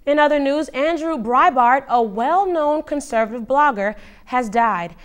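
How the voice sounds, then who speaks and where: High-voiced woman reading news. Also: animated, measured pace. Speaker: news anchor.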